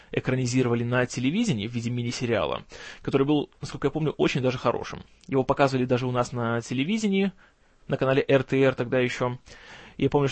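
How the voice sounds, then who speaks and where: A man hosting a film news show in casual commentary, his voice strained and kept low in volume, with a gloomy, wry, sarcastic manner and some stumbling over words. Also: a little mellow, no echo. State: strained, tired voice, can't speak loud.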